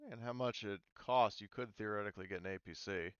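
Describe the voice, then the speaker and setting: A man's voice: on the low side, fast talker, smooth, no echo, lo-fi sound. A man acting as game master, talking players through a tabletop game in a casual, informal way with some swearing.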